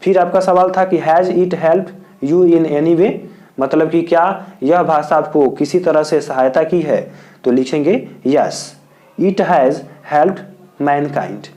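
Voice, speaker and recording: A man from India teaching as a tutor, dictating answers slowly and repeating each point in several wordings. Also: on the high side, mellow. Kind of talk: exam answer lesson.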